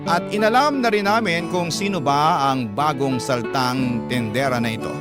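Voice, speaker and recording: A man hosting a radio drama, reading a listener's letter aloud in an expressive storytelling voice. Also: mid-range voice, moderate pace. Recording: loud guitar music behind.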